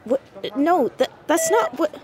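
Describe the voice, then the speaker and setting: A woman playing a sharp, nosy fourteen-year-old girl detective in a naturalistic audio drama, quick and probing. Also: sits high, sing-song, over a noisy crowd. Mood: thrown, uneasy.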